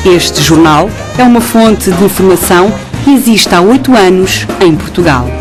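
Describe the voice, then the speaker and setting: A woman reporter reading a scripted news narration in an even, read-out delivery. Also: medium pitch, normal speed, music in the background.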